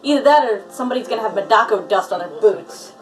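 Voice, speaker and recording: Female co-host, very lively intonation, joking and casual, off-mic with show music clearly underneath. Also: a little high, fast, recorded from a distance. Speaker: co-host of a live reaction show. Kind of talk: casual live-reaction commentary. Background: television show playing with music.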